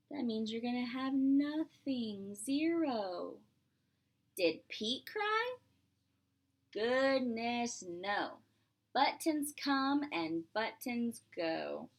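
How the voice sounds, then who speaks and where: Female pre-K teacher for a picture-book read-aloud; slightly high voice, unhurried pace, playful delivery with simple explanations. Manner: expressive, drawn-out, playful exclamations; simple explanations with frequent questions to the listener. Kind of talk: read-aloud to young children with questions and singing.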